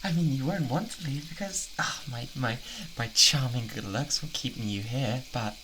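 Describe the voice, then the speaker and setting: A man with a British accent playing an obsessive, unhinged boyfriend, doting and menacing, in a halting, intimate ASMR roleplay. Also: mid-range voice, noisy background, natural voice. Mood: menacing, gleeful. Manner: halting, giggly, theatrically coaxing.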